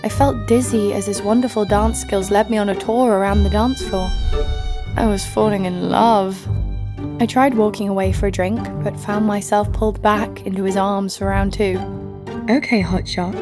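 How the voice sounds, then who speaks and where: A woman's voice: mid-range voice, fairly even intonation, moderate pace, close to an everyday voice. An actress as brash, sardonic young woman adventurer over music. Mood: irritated muttering. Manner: sarcastic, blunt. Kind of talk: first-person audio drama narration.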